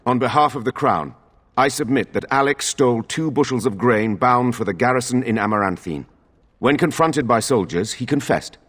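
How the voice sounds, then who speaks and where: An actor playing a stern guard captain in a video game, formal and accusing, in a reverberant hall. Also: male voice, medium pitch, lively intonation, normal speed, fairly bright tone, slightly rough.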